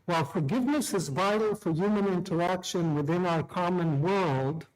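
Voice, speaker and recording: Middle-aged guy from israel giving a careful formal speech, slightly high voice, stumbles a bit now and then. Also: poor audio. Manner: measured, deliberate, with small restarts. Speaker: law professor opening a tribunal.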